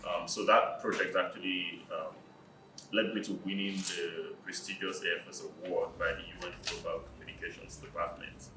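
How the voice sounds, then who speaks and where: Man, loud voice, very low pitch, far off in an echoey room, background noise. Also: little pitch movement, normal speed. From Nigeria. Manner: loud, hesitant with many fillers. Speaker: nonprofit founder. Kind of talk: formal introduction at official meeting.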